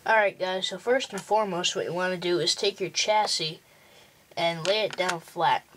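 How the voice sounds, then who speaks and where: A man's voice: high voice, steady tone, fairly bright tone, a little hiss or hum. A young male host giving a plain, step-by-step walkthrough for building a toy model. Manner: slow, plain instructional walkthrough. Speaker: tutorial host.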